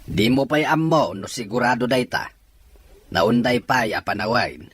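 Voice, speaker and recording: A man's voice: medium pitch, expressive, unhurried, a bit bright, slightly rough, no echo. A man playing a cautious, doubting father in an audio drama. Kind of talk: Ilocano audio drama dialogue.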